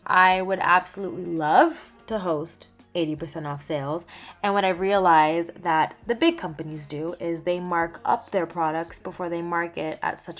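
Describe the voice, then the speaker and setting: A young woman with a lowish voice chats candidly in a vlog voiceover. Her talk is lively, jumping up in pitch and volume on asides, with soft background music playing faintly under it. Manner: chatty, candid, lively swings in pitch and loudness. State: just over laryngitis. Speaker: small skincare business owner.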